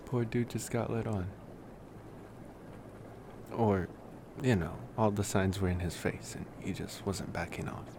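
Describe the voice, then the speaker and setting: A man playing a laid-back, wry college-age vampire in an intimate ASMR roleplay, rambling casually and hesitantly with sighs and pauses. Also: fairly low pitch, creaky, noisy background. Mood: sheepish, amused.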